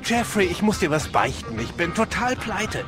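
A man's voice: high voice, big pitch swings, moderate pace. A man playing an easygoing, joking police detective who is always broke, in an audio drama. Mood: cheeky pleading. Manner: joking, casual, slangy. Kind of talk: audio drama acting of a video game story. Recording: music under the voice.

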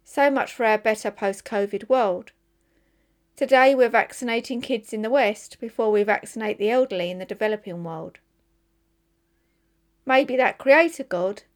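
A woman volunteer reader reading a newspaper opinion column aloud for listeners. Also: on the high side.

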